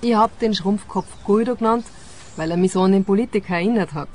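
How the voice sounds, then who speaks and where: An actress playing a grumpy, indifferent grown daughter in a radio play, curt and sardonic. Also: female voice, mid-range voice, fast, a little mellow, close to an everyday voice. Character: grumpy, indifferent grown daughter, night-shift arcade attendant. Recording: faint outdoor park noise.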